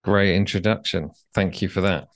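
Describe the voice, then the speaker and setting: Podcast host, man, very low voice, hesitant questions, dry close sound. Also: fairly even intonation, moderate pace, no room sound. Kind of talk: interview questions.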